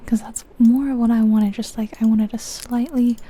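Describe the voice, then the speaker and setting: A woman's voice: a little high, steady tone, moderate pace, warm tone. A woman whispering breathily in an ASMR game commentary, musing aloud and indecisive, close to the microphone. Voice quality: breathy, mostly unvoiced whisper. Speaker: ASMR video creator.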